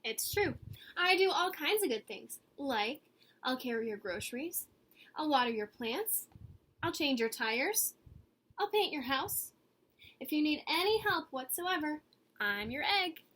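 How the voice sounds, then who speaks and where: A woman voicing an earnest, eager good egg telling its own story from a picture book, with expressive pauses and emphasis. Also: high-pitched, exaggerated voice. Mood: cheerful, proud. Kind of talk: picture-book read-aloud.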